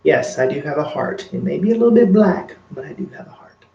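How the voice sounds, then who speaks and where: A man's voice: fairly high pitch, animated, a little fast, creaky, warm tone, echoey room, highs cut off. A man casually chatting to his livestream viewers, rambling and earnest with many fillers. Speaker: makeup vlogger.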